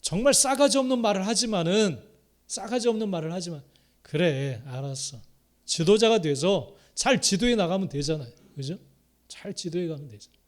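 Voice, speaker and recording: A middle-aged Korean man from the Chungcheong region, a pastor preaching a sermon who repeats key phrases and swings between loud exhortation and quiet asides. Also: sits high, animated, moderate pace. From Korea, Chungcheong province.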